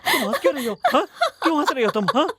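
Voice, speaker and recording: An actor playing a volatile, guilty bridegroom in a screen melodrama, speaking in an overwrought, theatrical way and repeating himself. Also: male voice, extremely high pitch, sing-song, very fast, fairly bright tone, husky, dry recording, clean studio sound, heavily acted. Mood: bewildered. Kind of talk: melodramatic Hindi screen drama acting.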